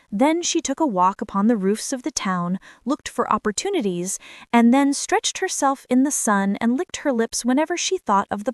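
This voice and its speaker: A woman storyteller reading a fairy tale to children in expressive scripted narration. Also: a little high, dry recording, clean studio sound.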